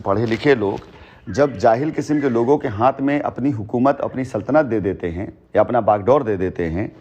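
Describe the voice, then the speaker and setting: A man's voice: mid-range voice, darkish tone. A man on a recorded phone call, explaining at length in an emphatic, long-winded way and repeating his phrases for weight. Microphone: telephone.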